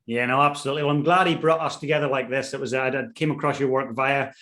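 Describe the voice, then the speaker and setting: A man's voice: medium pitch, steady tone, fast, a bit bright. A man hosting a podcast interview, welcoming his guest with effusive praise. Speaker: podcast host. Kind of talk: interview host.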